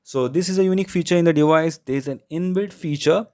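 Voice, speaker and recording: Male tech reviewer, Indian accent, smooth clear voice, close dry sound, explanatory walkthrough delivery. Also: on the high side, quick pace, dark tone, dry recording. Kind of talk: product review narration, unscripted walkthrough.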